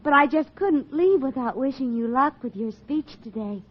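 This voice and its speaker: High-voiced coquettish lady. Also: lively intonation, moderate pace. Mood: breezy, coy. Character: breezy, coquettish young lady, sweetly fickle. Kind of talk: 1940s radio comedy acting. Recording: muffled 1940s radio recording.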